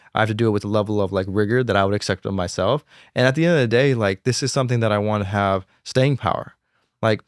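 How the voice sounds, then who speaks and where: Young man talking fast, filler-heavy. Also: fairly low pitch, no echo, clean studio sound. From United States. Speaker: fashion designer, guest. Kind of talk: casual interview conversation.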